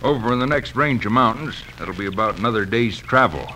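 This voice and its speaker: A man's voice: fairly low pitch, lively intonation, a little fast, pushed and bright. A man playing a sly, boastful frontier tracker with a Western American accent in an old radio western. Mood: confident, slippery. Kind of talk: 1940s-50s radio western acting. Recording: noisy old radio recording.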